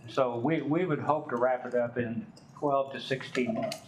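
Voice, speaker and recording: An older man representing a developer, giving folksy, rambling testimony at a city council meeting and speaking loudly on purpose. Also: mid-range voice, normal speed, far from the mic, background noise.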